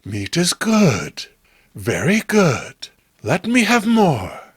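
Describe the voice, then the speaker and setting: A man plays a savage young mermaid from a horror comic. She speaks very slowly and bluntly, with a childlike hunger, in a close, dry recording. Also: sits high, wildly swooping pitch, husky, dry recording, heavily acted. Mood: hungry, matter-of-fact. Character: savage young female mermaid, innocently hungry for flesh. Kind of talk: horror comic read-along acting.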